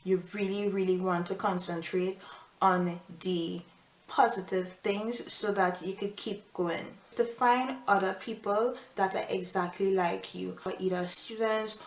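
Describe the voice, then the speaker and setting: A woman's voice: mid-range voice, fairly even intonation, measured pace. A young woman from Trinidad with a Trinidadian accent, giving earnest, reflective advice in a casual vlog, with frequent fillers. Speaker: vlogger. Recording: echoey room with faint music. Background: soft piano music.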